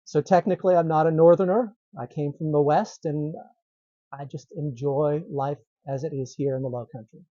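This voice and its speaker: A man's voice: on the high side, steady tone, moderate pace, warm tone, dry recording. A middle-aged man from Massachusetts, a meteorologist guest chatting in an easygoing way on a podcast. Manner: easygoing, laughs lightly, restarts phrases. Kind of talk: casual interview chat. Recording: slightly dull lo-fi sound.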